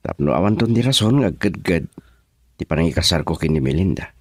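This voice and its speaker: A man with an Ilocano accent playing a resigned young man in a radio drama, husky-voiced. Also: low-pitched, animated, fairly quick, no echo, clean studio sound.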